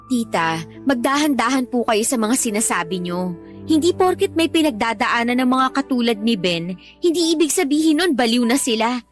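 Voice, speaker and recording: An actress playing an earnest young student pleading respectfully but firmly in a Tagalog radio drama. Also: female voice, on the high side, animated, moderate pace, faint music behind, close to an everyday voice. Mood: earnest, concerned. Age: young adult. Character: earnest young student girlfriend pleading with her boyfriend's mother.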